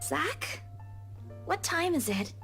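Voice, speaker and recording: A woman voicing a gentle, apologetic young friend in a visual novel, hesitant and caring, with music behind her. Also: extremely high pitch, sing-song, slow, creaky, heavily acted. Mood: sleepy, questioning. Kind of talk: visual novel character dialogue.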